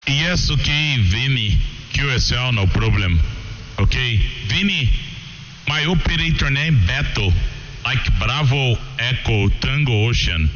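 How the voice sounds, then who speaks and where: A man's voice: mid-range voice, lively intonation, a little slow, a bit bright, slight background noise. A Brazilian man, a native Portuguese speaker, making a ham radio contact in broken English with short phrases over a radio transmission. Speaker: amateur radio operator. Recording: muffled radio audio with long reverb.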